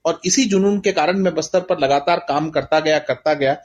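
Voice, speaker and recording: A man's voice: fairly high pitch, normal speed, muffled recording. A middle-aged Indian man answering as a guest author in a literary interview, speaking earnestly and reflectively with emphatic, rhetorical repetition. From Bastar, India.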